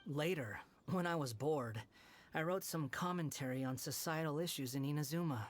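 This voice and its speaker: A man's voice: fairly high pitch, moderate pace, natural voice. A man playing an arrogant, irritable young wanderer in an anime game, speaking in a dismissive, sardonic way. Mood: scornful, irritated.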